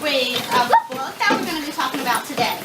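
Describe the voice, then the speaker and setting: High-pitched female teacher quizzing small children, distant in a noisy classroom. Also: expressive, fairly quick. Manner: repeats children's answers back to them. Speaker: student teacher leading young children. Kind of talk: classroom question-and-answer with young children. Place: classroom, indoors, away from the mic. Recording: echoey, noisy classroom from far off.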